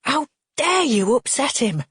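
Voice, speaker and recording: An exasperated, angry man from an audiobook drama acted out by a woman. He snaps forcefully and accusingly, with a husky edge, in a very close, dry sound. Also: a little high, lively intonation, slow pace, no room sound, voice pushed up. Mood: exploding. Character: angry, exasperated, snapping grown man, narrator's brother.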